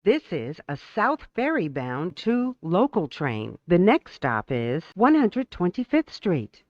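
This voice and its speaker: Subway announcer, really slow n formal, careful words, kinda muffled lo-fi audio. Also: medium pitch, wildly swooping pitch, dry recording. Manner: measured, clearly enunciated, formal. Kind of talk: scripted automated transit announcements.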